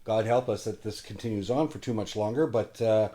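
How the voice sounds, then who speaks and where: A man hosting a casual interview chat, often halting and rephrasing his questions as he goes. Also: fairly low pitch, warm tone. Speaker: show host interviewing guests.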